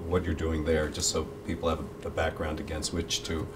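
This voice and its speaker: A man's voice: extremely low pitch, completely flat delivery, a little fast, noisy background. A middle-aged man interviewing a guest, asking questions and affirming with short replies. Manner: affirming, many short backchannels. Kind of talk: interview conversation.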